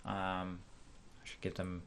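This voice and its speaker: A man with a fairly low, creaky voice hosts a software tutorial in a casual style. He thinks aloud as he demonstrates, feeling his way through each step with some hesitation. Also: completely flat delivery, slow. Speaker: tutorial host.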